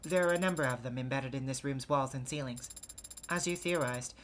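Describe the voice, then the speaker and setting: A woman's voice: very deep voice, a little fast, a little hiss or hum. A woman voicing an earnest, loyal young android assistant from a video game, with precise, formal, stilted diction. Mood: puzzled. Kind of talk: voice acting a visual novel game.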